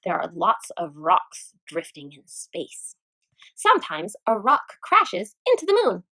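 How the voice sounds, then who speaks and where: A woman teacher reading a children's nonfiction book aloud in an expressive storytime manner. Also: lowish voice, a little slow, no room sound, rough recording.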